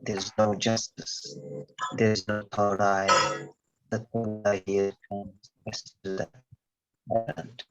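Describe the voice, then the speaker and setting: A man's voice: on the low side, little pitch movement, slow, pauses often, heavy background noise. A man, a poet taking part in an online gathering, speaking and reciting formally over a call.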